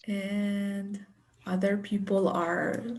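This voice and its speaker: Slow-spoken woman presenting an online webinar. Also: medium pitch, level tone, a little mellow. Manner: chatty, unhurried, with light laughs and fillers. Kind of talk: friendly online teacher talk. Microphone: video call.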